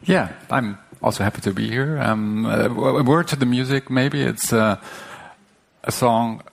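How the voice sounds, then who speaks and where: A man's voice: mid-range voice, measured pace, vocal fry. A man co-hosting a live podcast on stage, hesitant, with many fillers and restarts. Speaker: podcast co-host. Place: stage before a live audience.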